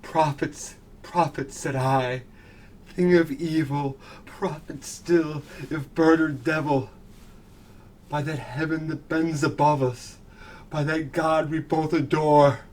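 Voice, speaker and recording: A man's voice: a little high, steady tone, takes it slowly, a little hiss or hum. A man in his thirties giving a theatrical, intense recitation of gothic horror verse in a living room. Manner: melodramatic, intense, swinging from hushed to shouted. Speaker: performer reciting a poem. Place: carpeted basement living room with low tiled ceiling.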